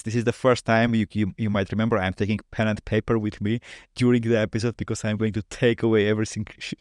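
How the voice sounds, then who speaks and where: A male podcast host whose first language is Armenian, asking questions haltingly and repeating words as he goes. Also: medium pitch, darkish tone, no echo, studio quality. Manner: halting, repeats words while asking, non-native grammar. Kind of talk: interview.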